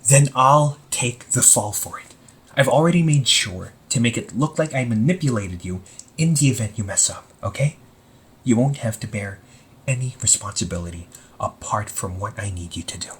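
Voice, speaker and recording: A man playing a young supervillain in an amateur audio roleplay, husky and breathy, speaking earnestly and haltingly to the listener. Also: on the low side, lively intonation, exaggerated voice. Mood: pleading, worried. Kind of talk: amateur audio roleplay, intimate one-sided dialogue to listener.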